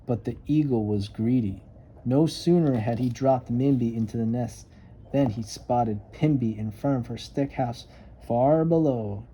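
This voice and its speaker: Man, storybook reader, very soft dark tone, medium pitch, expressive emphasis, faint background noise. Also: a little slow, low-quality recording. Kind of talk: read-aloud children's story narration.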